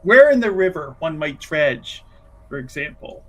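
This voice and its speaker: Casting: blunt, devout female sleuth played by a man for tabletop fantasy, sarcastic and pressing, on the high side. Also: sing-song, unhurried, a bit bright. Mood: skeptical. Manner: probing, sarcastic, salty-tongued. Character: blunt, sardonic, devout woman investigator, a Chantry sister.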